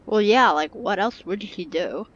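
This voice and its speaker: A woman's voice: mid-range voice, measured pace, background noise. A young woman giving casual, playful and wry commentary on a video game, flippant, on a dull lo-fi microphone. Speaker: gamer commentating on her playthrough. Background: game music.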